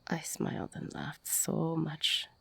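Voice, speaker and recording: A woman playing an older, tough ex-con girlfriend in an intimate audio roleplay, tender, teasing and insecure, confiding haltingly in a husky, half-whispered voice. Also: deep voice, very animated, dry recording, exaggerated voice. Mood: tender, confessional. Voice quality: husky, gravelly, breathy.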